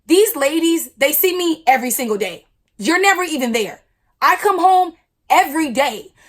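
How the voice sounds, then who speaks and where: Blunt, loud young woman storyteller. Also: sits high. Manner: animated, blunt, slangy. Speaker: YouTuber. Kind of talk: storytime vlog.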